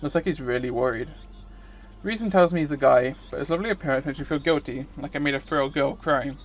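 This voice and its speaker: A man's voice: a little high, fast, poor audio. A man streaming a visual novel, reading its narration aloud. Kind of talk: scripted narration read aloud.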